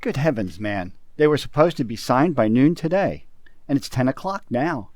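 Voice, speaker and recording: A man playing an impatient business partner in an old-style radio drama, brisk and incredulous. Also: medium pitch, animated, dry recording, lightly acted. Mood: exasperated. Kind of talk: 1940s radio drama acting.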